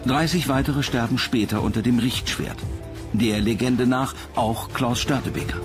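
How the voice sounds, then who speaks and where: A man's voice: medium pitch, normal speed. A man reading scripted documentary narration as the narrator. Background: music behind the voice.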